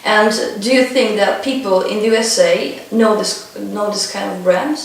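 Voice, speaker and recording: A woman's voice: medium pitch, normal speed, vocal fry. Woman interviewer in an echoey room. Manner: steady, frequent backchannel yeahs, non-native English phrasing. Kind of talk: interview questions. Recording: echoey room, voice far from mic, faint noise.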